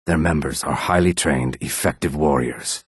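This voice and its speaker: A reptilian assassin from a fantasy video game, speaks from deep in his register. His voice is rough and husky, with deliberate phrasing. The sound is close and dry, with no room around it. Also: no echo. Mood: calm, cautionary. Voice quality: husky, rasping. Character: low-voiced male reptilian Argonian assassin and outlaw. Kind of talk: video game character dialogue.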